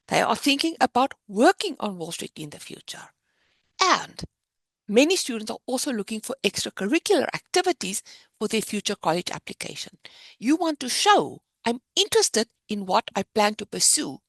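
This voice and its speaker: A woman's voice: medium pitch, creaky, no room sound. A woman with a South African accent, founder of a finance program, giving an upbeat, emphatic presentation on a video call. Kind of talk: virtual fair presentation.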